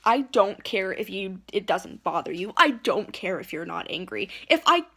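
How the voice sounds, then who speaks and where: A woman's voice: mid-range voice, sing-song, moderate pace, a bit bright, slightly rough. A woman ranting indignantly into her phone at home, rambling and restarting as she argues. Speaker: vlogger. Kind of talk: heated rant to camera. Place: at home, indoors.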